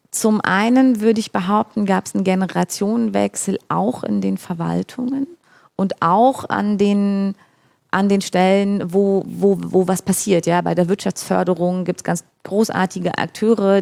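A woman in her forties from Brandenburg speaks in a somewhat low voice with a noticeable gravelly rasp. She explains in a loose, conversational way, restarting sentences and checking in with her listeners. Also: a little mellow. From Brandenburg, Germany. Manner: discursive, many fillers and restarts, asks "ja?". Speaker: expert guest. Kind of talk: conversational talk about regional development. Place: large room in a rural venue.

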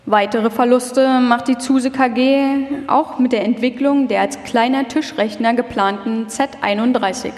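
A woman's voice: on the high side, steady tone, measured pace, hardly any pauses, a little mellow. A woman reading scripted documentary narration live, in a formal, factual manner. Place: large hall, live stage reading. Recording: reverberant hall.

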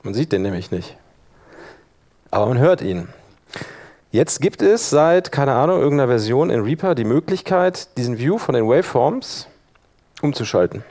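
A man's voice: medium pitch, sing-song, moderate pace, long pauses, darkish tone. A man presenting an informal live tutorial, conversational and often checking in with his listeners. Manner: loose, conversational, addresses listeners with questions. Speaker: workshop presenter.

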